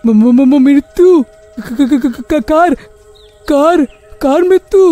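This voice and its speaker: A man's voice: very high voice, slow pace, mellow, soft background music. A man voicing a cowardly, jittery village man in a cartoon, drawling and prone to stammer. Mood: panicky.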